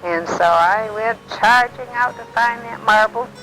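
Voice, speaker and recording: An elderly woman from Illinois in her nineties, gently recalling her life in an unhurried way, often pausing with um and uh. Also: a little high, soft background music, low-quality recording. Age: older. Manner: gentle, unhurried storytelling with many ums and uhs. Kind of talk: reminiscing, answering memory prompts.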